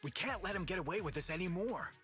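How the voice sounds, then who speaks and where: Determined union leader, earnest, higher male voice, game dialogue, light music. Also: expressive, fast talker, pushed and bright. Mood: earnest, resolute. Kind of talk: video game voice acting.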